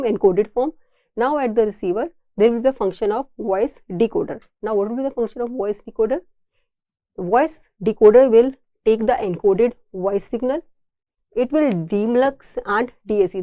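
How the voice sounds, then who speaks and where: A woman's voice: a little high, warm tone, dry recording. A woman with an Indian accent giving an engineering lecture, explaining slides in a didactic way and restating key points.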